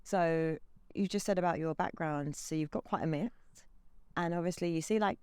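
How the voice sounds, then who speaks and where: Polite interviewer, smooth clear voice, dry close sound. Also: medium pitch, normal speed, a little mellow, no echo. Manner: chatty, polite, hesitant. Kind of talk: casual interview questions.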